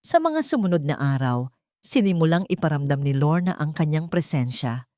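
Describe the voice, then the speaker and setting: A woman narrating a scripted audio drama in a measured, earnest, dramatic storytelling manner. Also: low voice, big pitch swings, warm tone, dry recording, studio quality.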